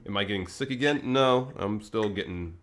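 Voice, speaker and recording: A man's voice: on the low side, normal speed, music in the background. A man co-hosting a casual livestream, slightly congested with a lingering cough, reading viewer chat in an easygoing way. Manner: easygoing, reads chat aloud. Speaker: podcast co-host.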